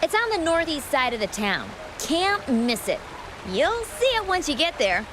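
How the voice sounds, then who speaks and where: A woman's voice: sits high, sing-song, unhurried, noisy background. A woman playing a helpful, knowledgeable travel guide writer in a fantasy video game, eager to explain, with music behind her.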